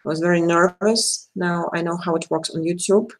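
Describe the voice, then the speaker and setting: A woman's voice: fairly low pitch, steady tone, moderate pace. A woman with a Russian accent, a native Russian speaker, chatting casually on a live stream as a tarot reader, addressing viewers by name and laughing often.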